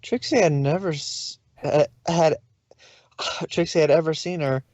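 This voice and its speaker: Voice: mid-range voice, unhurried, darkish tone, dry recording. Someone narrating fanfic casually, trips on words a bit, dry n slightly lo-fi. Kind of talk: narrating fan fiction aloud. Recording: slightly dull, lo-fi sound.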